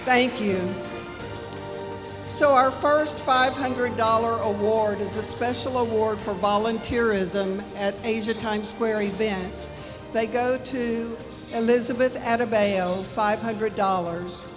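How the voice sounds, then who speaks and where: High-voiced woman announcing in an echoing hall. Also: fairly even intonation. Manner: reads names slowly with small apologetic corrections. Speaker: presenter at a community awards ceremony. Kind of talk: formal announcing into a microphone. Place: large indoor event hall. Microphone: podium microphone. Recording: long hall reverb, music playing. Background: background music.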